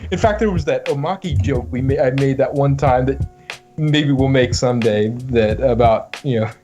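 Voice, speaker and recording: A man chatting casually as a podcast guest, talking in a rambling, halting way and often repeating words. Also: mid-range voice. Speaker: guest voice actor on a fan podcast. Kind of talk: casual group chat. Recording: music playing under the voice.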